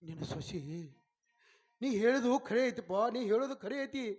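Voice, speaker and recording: A man's voice: very high voice, animated, fairly quick. A man playing a tearful young village husband. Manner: pleading, tearful and heartfelt. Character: tender-hearted young village husband, emotional. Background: music.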